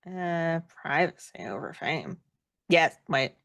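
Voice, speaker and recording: A woman with a fairly low voice, recorded close and dry, speaks as an interview guest. She is candid and reflective, working out her thoughts aloud and often rephrasing as she goes. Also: expressive, takes it slowly, gravelly, a bit bright, no room sound. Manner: candid, thinks aloud with many self-corrections. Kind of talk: casual reflective interview answers.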